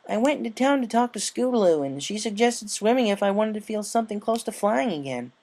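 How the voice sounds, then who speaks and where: A cocky, carefree young female pony from a storybook , acted out by a man. The voice is pitched up and breezy, with a playful, cheerful lilt. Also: lively intonation, fairly quick, darkish tone.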